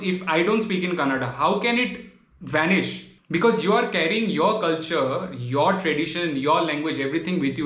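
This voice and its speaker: A man's voice: on the high side, fairly bright tone, reverberant. A man from Northeast India whose first language is Bengali, making an earnest, pleading vlog monologue and often ending lines with "man". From Tripura, Northeast India. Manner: earnest, pleading, repeats phrases and says "man". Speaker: vlogger. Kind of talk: impassioned direct-to-camera monologue.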